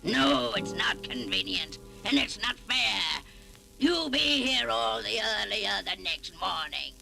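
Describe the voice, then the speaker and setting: A woman voicing a gruff, tight-fisted old man in an old-time storytelling style on a vintage children's record. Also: mid-range voice, lively intonation, slow, pushed and bright, soft background music, heavily acted, low-quality recording. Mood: grumbling.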